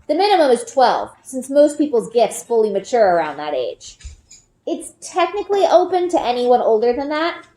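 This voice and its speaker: A woman reading a children's fantasy novel aloud in an expressive, careful storytelling style. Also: fairly high pitch. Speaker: storybook reader. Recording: echoey, reverberant room.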